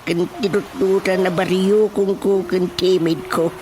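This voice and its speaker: Woman acting low, stammering, flustered comic character. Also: steady tone, a little slow, natural voice. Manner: stammering, full of groans and exclamations. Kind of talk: radio comedy drama acting. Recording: clear music behind the voice.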